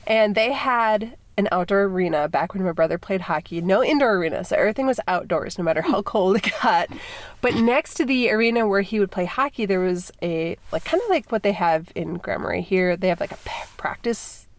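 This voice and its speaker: A woman's voice: mid-range voice, expressive, brisk, no room sound. Congested woman co-hosting a podcast. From Minnesota. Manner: chatty, laughs often, many restarts. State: congested from a cold. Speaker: podcast co-host. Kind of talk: casual podcast chat.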